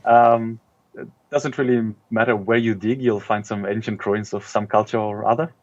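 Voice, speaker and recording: Middle-aged man, from Israel, lowish voice, hesitant, lo-fi video call sound. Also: steady tone, darkish tone. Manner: thoughtful, many pauses and ums. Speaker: author being interviewed. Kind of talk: casual interview chat.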